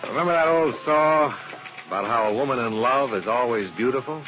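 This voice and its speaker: A man's voice: mid-range voice, brisk, music in the background, lightly acted. An American actor playing a cool, wry male insurance investigator in a 1950s radio drama, speaking in a terse, dry, matter-of-fact way. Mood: wry narration. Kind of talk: 1950s radio detective drama acting and narration. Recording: dull, noisy old radio recording.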